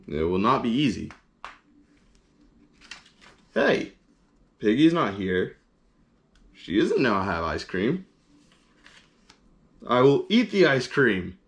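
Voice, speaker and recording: A man voicing an excitable, childlike elephant from a picture book, exclaiming and agonizing out loud. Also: medium pitch, slow, pauses often. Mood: torn, deliberating. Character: excitable, childlike elephant torn over sharing his ice cream.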